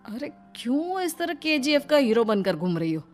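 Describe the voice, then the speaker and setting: A woman's voice: high-pitched, sing-song, moderate pace. A woman voicing a fed-up, complaining young husband and son in a cartoon story. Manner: whining, fed up. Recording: music behind the voice.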